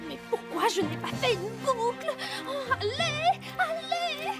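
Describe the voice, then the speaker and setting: Headstrong young girl rider, cartoon. Very high pitch, exclaiming, over music. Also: very animated, slow pace, exaggerated voice. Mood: dismayed. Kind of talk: cartoon dub. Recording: loud background music.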